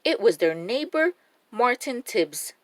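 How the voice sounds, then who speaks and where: Female children's storyteller, unhurried and very animated, dry recording. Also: on the high side, no echo. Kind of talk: expressive read-aloud storytelling for young children.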